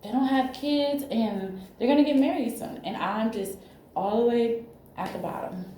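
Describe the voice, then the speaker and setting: A woman in her thirties, talking as a counseling client, halting and anxious as she searches for words. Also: fairly high pitch, warm tone, a little hiss or hum. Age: 30s. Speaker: counseling client in a role-play. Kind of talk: emotional personal disclosure. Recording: echoey room recording.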